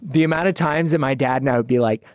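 A man's voice: mid-range voice, clean tone, dry recording, clean studio sound. Laughing man hosting a podcast. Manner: laughs often, bursts into quick excited asides. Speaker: podcast host. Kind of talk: casual chat.

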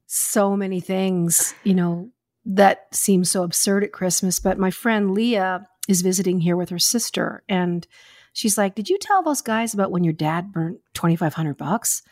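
A woman's voice: mid-range voice, a little slow, dry recording, clean studio sound. An older woman hosting a podcast, chatting casually and telling stories. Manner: chatty, storytelling. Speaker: podcast host. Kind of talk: casual chat.

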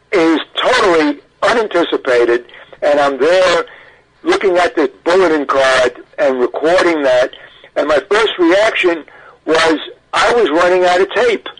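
A man's voice: fairly high pitch, a bit bright, a little hiss or hum, low-quality recording. An older man recalls things in a radio interview, talkative and emphatic, often interrupting himself. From Brooklyn, New York. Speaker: interview guest, audio archivist. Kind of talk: animated personal recollection in a radio interview.

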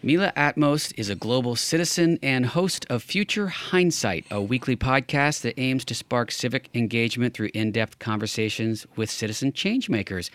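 A man hosting a podcast in a conversational way, often pausing partway through his phrases as he speaks. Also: mid-range voice, expressive, a bit bright, clean tone, no room sound. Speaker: podcast host.

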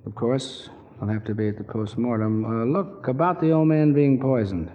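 An actor playing a blunt, world-weary detective who is gruffly kind, in 1940s radio drama style. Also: male voice, medium pitch, normal speed, close to an everyday voice. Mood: probing, gruff concern. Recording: dull, noisy old radio recording with reverb.

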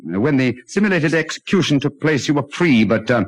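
A man acts out a clever British detective from a 1940s radio play. His delivery is theatrical and highly varied in pitch, with an urbane, hesitating drawl. He sounds dry and sure of himself, in a very dry acoustic. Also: lively intonation, brisk, no echo, close to an everyday voice. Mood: explaining, assured. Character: clever, dry, self-assured male detective. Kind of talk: 1940s radio drama acting.

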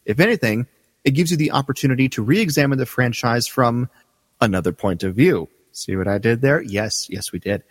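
Lively-voiced male co-host, a bit roomy. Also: mid-range voice, brisk. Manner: relaxed, joking, reads listener letters aloud. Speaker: podcast co-host. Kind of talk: casual podcast chat.

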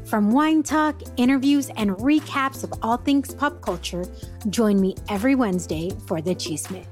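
A woman's voice: a little high, normal speed, darkish tone, soft background music. A woman reading a scripted, upbeat promo for her podcast.

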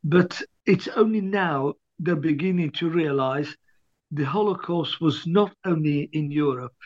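An older man from Libya, an author, recalling his past in an interview with many restarts and much throat clearing. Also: fairly high pitch, unhurried, darkish tone, no room sound, highs cut off. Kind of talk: hesitant interview reminiscence.

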